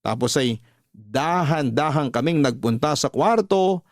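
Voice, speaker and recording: A man's voice: on the high side, animated, unhurried, dry recording. Brash womanizer's dry, close confession. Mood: boastful confiding. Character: brash womanizing man confessing his affairs. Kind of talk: first-person confessional radio drama narration.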